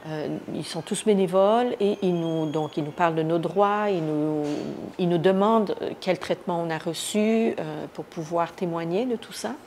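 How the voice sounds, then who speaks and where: A woman from Iran giving a spontaneous first-person account in an interview, often pausing to search for words. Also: lowish voice, darkish tone. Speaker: interviewee, activist recounting her detention. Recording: noticeable background noise.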